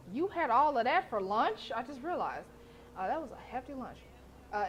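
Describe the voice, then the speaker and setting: A woman's voice: fairly high pitch, a little hiss or hum, rough recording. A woman streamer reading a visual novel aloud, dropping blunt, profane jokes between lines, over background music.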